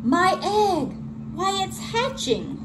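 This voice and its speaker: A woman voicing a faithful, gentle male elephant in a children's storybook, earnest and emphatic. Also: very high voice, sing-song, takes it slowly, noisy background, voice pushed up, full character voice. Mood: excited, astonished.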